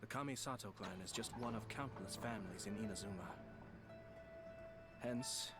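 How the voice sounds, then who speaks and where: Game clan head, young male, played by a man: gentle, formal, measured, lowish voice, loud music underneath. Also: natural voice. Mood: composed, solemn. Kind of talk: scripted game character acting.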